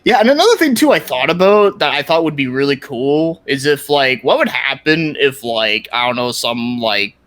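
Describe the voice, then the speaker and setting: A man's voice: mid-range voice, lively intonation, normal speed, few pauses, a bit bright. A man chatting casually as a podcast guest, rambling and informal, with lots of filler words. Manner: rambling, informal, peppered with "like" and mild swearing. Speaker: podcast guest, YouTube content creator. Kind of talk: casual interview chat about his projects and gaming.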